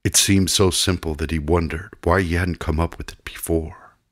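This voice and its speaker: A man narrating fiction for an audiobook in a husky voice, with measured, deliberate delivery. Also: sits low, fairly even intonation, dry recording, studio quality.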